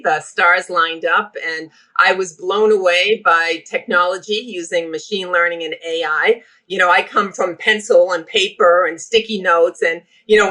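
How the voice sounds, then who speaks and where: A middle-aged woman with a Boston accent speaks as an interview guest, talkative and enthusiastic. Her voice has a bright, forceful edge. She talks in a lively, unrehearsed way. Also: on the low side, low-quality recording. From Boston area. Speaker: healthcare operations guest. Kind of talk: podcast interview.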